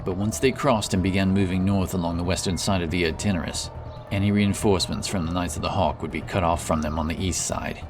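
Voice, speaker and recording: Very deep-voiced man narrating fantasy. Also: steady tone, fairly quick, music in the background. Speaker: audiobook narrator. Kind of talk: dramatic fantasy story narration.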